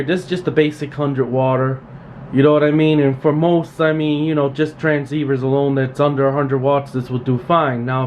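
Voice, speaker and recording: A man giving an informal, unscripted tutorial as a repair technician, plain-spoken and repetitive, with emphatic practical advice. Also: a little high. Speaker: radio repair technician hosting a tool tutorial. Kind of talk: informal unscripted instructional talk. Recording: steady background noise, room ambience.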